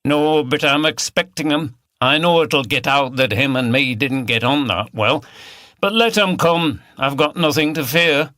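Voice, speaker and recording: A man's voice: fairly high pitch, measured pace, bright, forceful tone, dry recording, clean studio sound, voice pushed up. A man voicing a cocksure, blunt male pub regular in an audiobook. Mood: cocky. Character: cocky, sniggering Yorkshire pub drinker.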